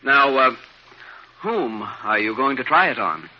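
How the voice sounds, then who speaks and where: An actor playing an earnest, nervous, deferential laboratory assistant in a 1950s radio drama. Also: male voice, mid-range voice, a little slow. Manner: earnest, excitable. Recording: muffled, noisy 1950s radio recording.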